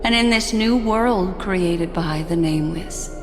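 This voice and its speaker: Brief: actress as a calm, slow-spoken woman, slightly low. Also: over music, lightly acted. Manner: calm, deliberate, lofty. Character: calm, composed woman expedition member. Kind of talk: game voice acting.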